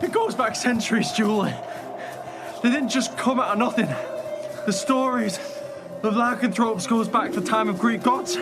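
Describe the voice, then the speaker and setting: Actor doing an anxious earnest guy in a radio drama, high voice, urgent warnings, loud music under it. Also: lightly acted. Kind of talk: radio play acting. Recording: loud music behind the dialogue.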